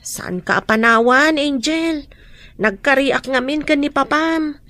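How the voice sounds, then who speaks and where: A loyal, indignant housemaid in an Ilocano radio drama. She has a high voice with an Ilocano accent, recorded close and dry. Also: a little slow, a bit bright, no echo. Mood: sympathetic.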